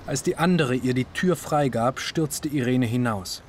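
An actor narrating a literary radio drama in German in a measured, sober way. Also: male voice, medium pitch, slight background noise, close to an everyday voice. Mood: grave.

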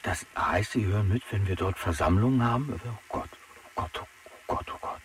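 A man's voice: on the low side, moderate pace, breathy edge. A man playing a dry, worried hairdresser calling in over a radio intercom in a children's radio play. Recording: distant, noisy radio link.

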